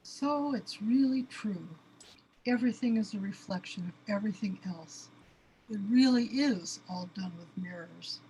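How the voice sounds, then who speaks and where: A woman's voice: mid-range voice, measured pace, mellow, a little hiss or hum, close to an everyday voice, low-quality recording. A woman acting in a radio play as an overwhelmed, panicky cosmic being, anguished and questioning. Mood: bewildered. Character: overwhelmed, panicky cosmic being trapped in its own space body.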